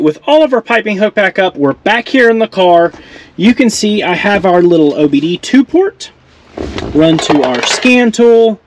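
A man casually walking through his car build as a vlogger, explaining each step as he works and joking at his own mistakes. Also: high voice. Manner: relaxed, self-deprecating, explains while working. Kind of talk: casual how-to walkthrough. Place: garage and inside a truck.

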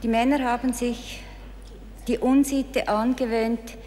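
Woman, slow and deadpan, echoey studio. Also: fairly high pitch, slight background noise. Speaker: game show contestant. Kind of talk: deadpan mock-serious introduction. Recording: reverberant TV studio.

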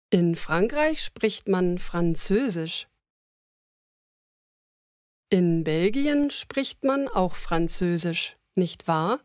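Woman reading slow learner dialogue. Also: lowish voice, darkish tone, no room sound, studio quality. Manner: each sentence repeated slowly. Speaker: language lesson voice.

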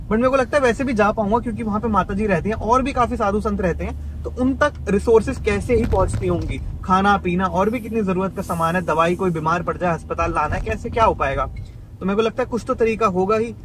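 A man's voice: sits high, quick pace, slight background noise, low-quality recording. A man narrating his own travel vlog in a chatty, thinking-aloud way, mixing in English words. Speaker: travel vlogger. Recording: background music under the voice.

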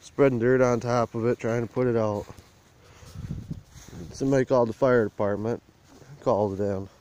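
A man's voice: mid-range voice, fairly even intonation, normal speed, darkish tone. A man vlogging on a phone as he walks a burnt field outdoors, talking in a plain, rambling rural way. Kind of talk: casual walk-and-talk vlog. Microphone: handheld phone camera while walking.

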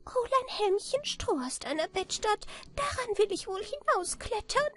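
A tiny, cranky old fairy-tale man, acted out by a woman storyteller, with an extremely high, thin, squeaky voice. He is greedy, brusque and bossy, piping out his demands impatiently. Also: lively intonation, a little slow, fairly bright tone, exaggerated voice. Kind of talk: children's fairy tale reading.

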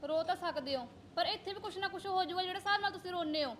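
A woman's voice: very high-pitched, rapid-fire, fairly bright tone, slight background noise. A young woman playing a quarrelsome daughter-in-law who scolds and argues. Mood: scolding, defiant. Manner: scolding, argumentative. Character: sharp-tongued young wife, quarrelsome, stingy.

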